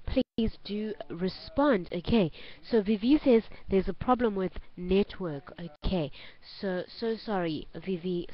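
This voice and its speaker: A woman with a South African accent teaching an online English class, giving instructions clearly and repeating phrases for learners. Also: mid-range voice. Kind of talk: online group lesson. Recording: dull lo-fi online call audio.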